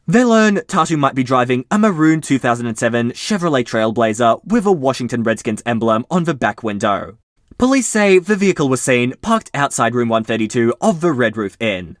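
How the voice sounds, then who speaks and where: A man reads mystery posts aloud for his channel, his voice rising and falling with lots of movement while staying mid-pitched. He drops in casual asides between the facts. The sound is close and dry, with no room around it. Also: no room sound. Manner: lively storytelling delivery. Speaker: channel host reading posts.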